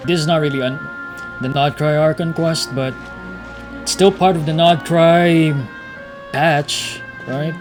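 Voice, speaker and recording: A man's voice: fairly high pitch, fairly even intonation, measured pace. A man streaming a video game, giving laid-back, slangy reaction commentary over game music.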